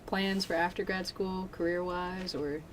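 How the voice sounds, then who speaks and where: A young woman, an undergraduate teaching assistant, asking brief, relaxed interview questions in a dark studio. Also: fairly low pitch, unhurried, a little hiss or hum, poor audio. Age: young adult.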